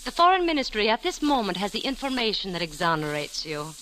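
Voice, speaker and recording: A crisp-edged, very animated female spymaster, scheming and condescending. Her phrasing is formal and silky, in old radio-thriller style with a faint hiss. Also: medium pitch, fast, poor audio. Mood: smooth, condescending. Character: alluring, scheming female spymaster, coolly mocking. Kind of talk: 1940s radio acting.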